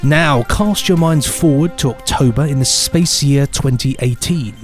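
A man's voice: on the high side, a little slow. Playful male co-host with music behind. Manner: playful mock-pompous banter. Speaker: podcast co-host. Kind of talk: chatty presenting with scripted news items. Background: music bed.

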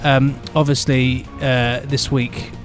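A man's voice: medium pitch, slow, soft background music. A man co-hosting an audio drama podcast in casual, jokey chat. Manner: jokey, lively, trailing off into mumbles. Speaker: podcast co-host.

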